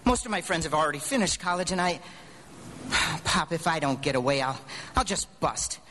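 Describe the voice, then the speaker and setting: A man's voice: a little high, normal speed, pushed and bright, a little hiss or hum. An actor playing an earnest, restless young man with an eager, stammering delivery in a live radio drama.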